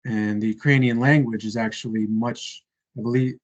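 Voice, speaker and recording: A man's voice: mid-range voice, steady tone, normal speed, highs cut off. A man casually chatting on a video call, thinking aloud with many fillers and hedges. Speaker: conversation partner.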